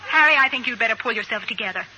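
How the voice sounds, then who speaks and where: An actress playing a poised, spirited wife, tart and self-possessed, in 1940s radio comedy style on a noisy old recording. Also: female voice, a little high, lively intonation, very fast, bright, forceful tone. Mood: tart, defiant. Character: poised, spirited suburban wife standing her ground.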